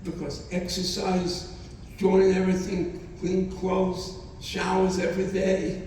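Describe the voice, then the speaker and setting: A man in his nineties, not a native English speaker, gives a halting testimony to students and often repeats his phrases. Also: high voice, level tone, a little mellow, slight background noise. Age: 90s. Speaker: Holocaust survivor speaker. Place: college hall. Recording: echoey hall from far off.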